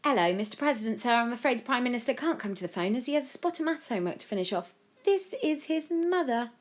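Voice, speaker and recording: Woman playing a chatty homely British mum, lively. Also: on the high side, quick pace, pitched above the performer's own voice, lightly acted. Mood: polite. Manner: chatty, folksy.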